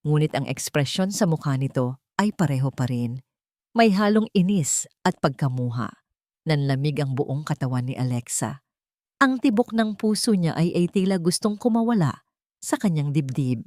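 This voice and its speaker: Female storyteller for romance audio fiction, low voice, very lively intonation, dry close sound. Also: normal speed, no room sound. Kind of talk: scripted dramatic love story narration.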